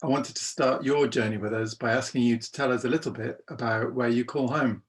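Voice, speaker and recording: A man hosts a conversational podcast interview. He forms his questions slowly in pieces, pausing and starting again, at a middle pitch. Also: fairly even intonation.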